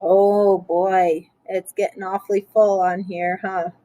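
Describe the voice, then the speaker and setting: A woman reading a picture book aloud for children in a playful, expressive way, with chatty asides. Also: medium pitch, slow pace, dry recording, rough recording.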